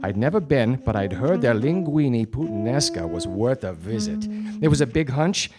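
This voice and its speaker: A man playing a wry, deadpan 1940s detective in a radio play, narrating his case and trading wisecracks. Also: mid-range voice, normal speed, lightly acted. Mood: brooding, narrating. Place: stage before a studio audience. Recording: live radio play with music behind.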